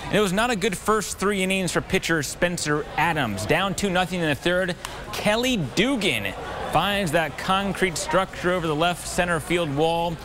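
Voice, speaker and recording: A man delivering scripted sports news and highlight narration as a TV reporter and anchor. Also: expressive, moderate pace, background noise.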